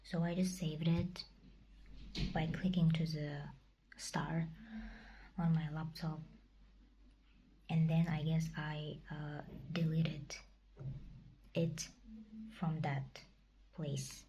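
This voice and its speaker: Young woman, whispered vlog, mellow, flat delivery, hesitant. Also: low voice, slow, pauses often, slight background noise. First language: Kazakh. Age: young adult. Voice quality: breathy whisper. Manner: whispered, hesitant, searching for words. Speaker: vlogger. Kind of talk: casual personal vlog.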